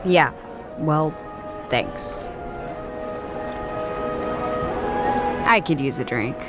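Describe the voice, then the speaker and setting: An actress playing a sharp-witted, guarded female ex-detective in a noir video game, speaking in a dry, understated, sardonic way. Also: low voice, expressive, slow pace, music in the background, heavily acted. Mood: dry, probing. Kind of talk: scripted visual novel voice acting.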